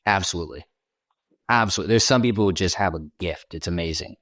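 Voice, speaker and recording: A man with a low-set voice and a neutral American accent talks easily as an interview guest, leaning on "you know" and "right" as he goes. The recording is close and dry, with hardly any room sound. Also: quick pace, no room sound. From Washington D.C., USA. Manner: relaxed, chatty, many fillers like "you know" and "right". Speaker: podcast guest, content creator. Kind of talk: casual interview conversation.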